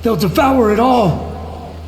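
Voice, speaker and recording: Shaken game soldier, strained and slow, high voice, roomy echo. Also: male voice, very noisy. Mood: urgent dread. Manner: strained, halting, dramatic. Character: desperate, haunted soldier pleading amid a lost war. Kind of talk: dramatic video game voice acting.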